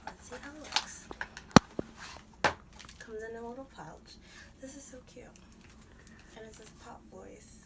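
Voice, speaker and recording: Soft-voiced woman, slow and whispery. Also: on the high side, recorded from a distance, very noisy. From United States. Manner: slow, breathy, drifts into whispers. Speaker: vlogger. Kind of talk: unboxing vlog.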